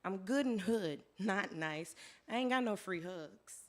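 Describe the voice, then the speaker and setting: A hoarse woman performing her spoken word poetry live, in a rhythmic rhyming delivery that swings between soft lines and loud declarations. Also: mid-range voice, animated, unhurried, heavy background noise. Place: live venue with audience.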